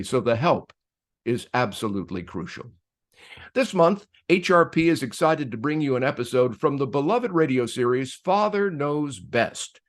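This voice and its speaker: Lively-pitched male announcer, formal delivery. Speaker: presenter. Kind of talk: scripted introduction narration.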